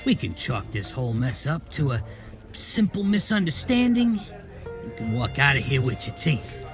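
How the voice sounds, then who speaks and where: An actor playing a slimy, smug crime boss in a noir radio drama, taunting in slangy gangster talk. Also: male voice, mid-range voice, sing-song, moderate pace, music in the background, lightly acted. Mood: quietly menacing.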